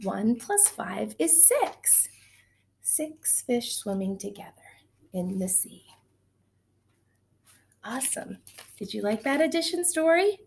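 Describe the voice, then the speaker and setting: A woman with a fairly high, very animated voice leads a lesson for young children. She speaks slowly, stretching words in a sing-song way, and often puts encouraging questions to her listeners. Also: gravelly, a little hiss or hum. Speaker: early childhood teacher. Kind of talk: children's lesson with counting, chants and simple stories.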